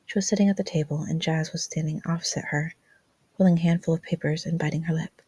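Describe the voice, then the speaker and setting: A woman's voice: low voice, steady tone, fast, warm tone, slightly rough, no room sound. A woman reading fan fiction aloud as scripted, dramatic story narration.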